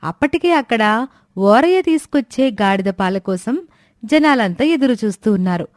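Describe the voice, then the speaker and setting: A woman's voice: a little high, lively intonation, normal speed, a little mellow, no room sound, clean studio sound. A woman storyteller reading scripted narration of a moral fairy tale.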